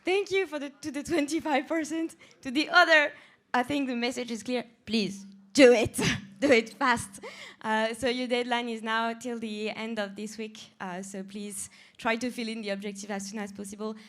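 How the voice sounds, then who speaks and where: A woman presenting objectives to colleagues at a staff meeting, in a lively, urging way. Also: fairly high pitch, moderate pace.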